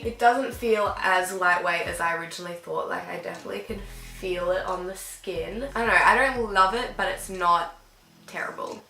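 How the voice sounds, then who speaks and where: Young woman beauty vlogger with a cold, bright, slightly nasal voice, chatty, roomy bedroom sound, faint music behind. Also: medium pitch. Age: young adult. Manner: chatty, filler-heavy, talks while applying makeup. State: sick, a bit croaky and nasal. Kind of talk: casual makeup tutorial chat. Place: dim bedroom with fairy lights, indoors.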